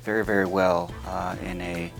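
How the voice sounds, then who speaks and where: A man's voice: sits low, level tone, moderate pace, gravelly, soft background music. A man interviewing a former student, asking long questions with many hesitant fillers.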